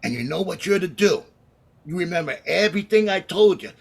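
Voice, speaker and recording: An actor playing a scheming, vengeful condemned gangster with tough slangy talk, in the manner of a 1930s radio crime drama. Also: male voice, high voice, animated, fairly quick, vocal fry, slightly rough, exaggerated voice. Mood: bitter, commanding.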